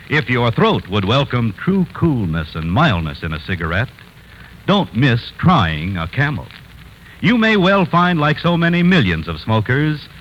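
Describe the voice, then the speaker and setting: A man's voice: medium pitch, a bit bright. A man reading a 1940s radio advertisement as announcer, emphatic and punchy. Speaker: commercial announcer. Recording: lo-fi, faintly noisy 1940s radio recording.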